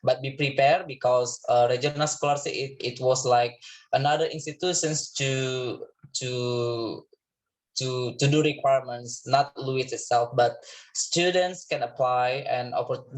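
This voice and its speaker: An Indonesian man hosts an online information session, speaking with a medium-pitched voice. He pauses often and restarts his sentences. He is heard through a video call. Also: fairly even intonation, low-quality recording. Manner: hesitant, many fillers and restarts. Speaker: session moderator. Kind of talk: online info session hosting.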